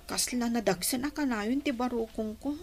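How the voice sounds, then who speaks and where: A woman's voice: fairly high pitch, normal speed, over music, natural voice. An actress with an Ilocano accent playing a troubled young woman in love in a radio drama. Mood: troubled, wistful. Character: troubled young woman pining for her sweetheart.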